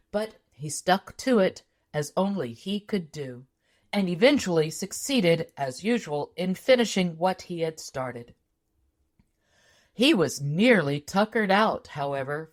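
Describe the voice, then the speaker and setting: A woman reading a tall tale aloud as scripted storybook narration. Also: on the low side. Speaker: audiobook narrator.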